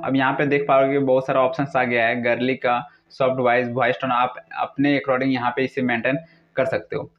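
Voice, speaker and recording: A young man narrates a casual how-to tutorial, mixing English words into step-by-step instructions. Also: medium pitch. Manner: repetitive, instructional phrasing with English words mixed in. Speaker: tutorial video host. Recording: dull lo-fi recording.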